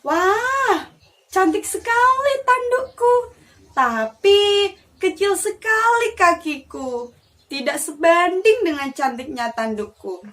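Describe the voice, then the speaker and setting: Woman voicing a vain, high-pitched storybook deer. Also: expressive, a bit bright, full character voice. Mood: admiring, dismayed. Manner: exclaiming, drawn-out storybook speech. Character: boastful, vain, anxious male deer of a fable. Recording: distant mic with faint noise.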